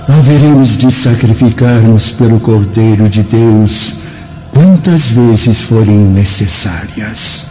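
Ator como velho mestre espiritual, lento e grave. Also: male voice, mid-range voice, warm tone, close to an everyday voice. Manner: grave, preaching, measured. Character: wise old male spirit master, serene and fatherly. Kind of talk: solemn religious radio drama acting. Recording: dull radio drama recording, music behind.

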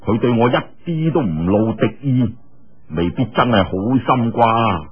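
A man's voice: mid-range voice, expressive, a little slow, breathy edge, dull audio, voice pushed down. A man voicing a suspicious, calculating martial-arts sect master muttering his inner thoughts in Cantonese, in an audio storybook.

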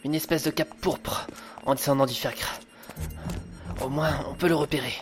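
An actor playing an anxious, sardonic, grumbling police inspector in a French audio drama, talking in an exasperated, nervy way. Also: male voice, fairly high pitch, fairly even intonation, moderate pace, natural voice. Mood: uneasy, grumbling. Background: dramatic music.

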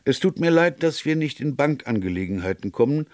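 A man voicing a grave, plain-spoken police colonel in a storybook reading, earnest, formal and deliberate. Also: mid-range voice, animated, a little mellow, dry recording, pitched above the performer's own voice, lightly acted. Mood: businesslike. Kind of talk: audiobook character dialogue.

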